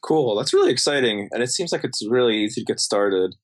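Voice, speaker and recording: A man's voice: medium pitch, animated, brisk. A man speaking as a podcast panelist in a casual, conversational way.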